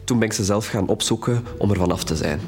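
Guy acting young man in an audio drama, lowish voice, sad and candid, music behind. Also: quick pace, natural voice. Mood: reflective, uneasy. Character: grieving, candid young man confessing his troubled past.

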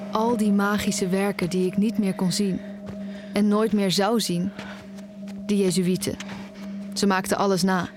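A woman's voice: mid-range voice, level tone, unhurried, long pauses, close to an everyday voice. Audio drama lead: actress as anxious young female student, narrating and in dialogue, over prominent music. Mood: tense, hushed narration. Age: young adult. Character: anxious young nearly blind student recounting her search. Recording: music behind the voice.

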